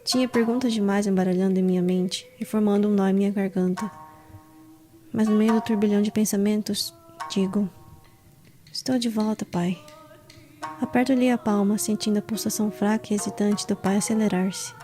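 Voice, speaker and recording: An actress playing the dutiful, troubled daughter of a samurai clan, narrating introspectively and formally in an audio drama, with a Brazilian accent. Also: female voice, mid-range voice, steady tone, moderate pace, dark tone, soft background music, natural voice.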